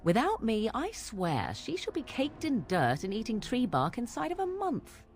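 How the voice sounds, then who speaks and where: A woman's voice: fairly high pitch, huge pitch swings, normal speed, a little mellow. An actress playing a haughty, sardonic young witch in a fantasy game, speaking in an arch, sarcastic way. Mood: scornful. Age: young adult. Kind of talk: fantasy video game voice acting.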